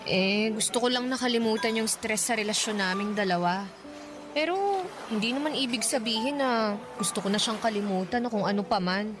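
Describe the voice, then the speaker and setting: A woman's voice: mid-range voice, a little fast, over music, close to an everyday voice. An actress playing a troubled, affectionate young girlfriend in a radio drama, with an earnest, pleading manner. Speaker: young woman in a love story. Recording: clear background noise.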